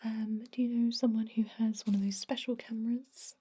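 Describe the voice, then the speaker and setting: A woman voicing a gentle, reassuring vampire dentist in a soft, breathy whisper for an ASMR roleplay. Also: a little high, level tone, natural voice. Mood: gentle, advising. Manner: soothing, reassuring, unhurried.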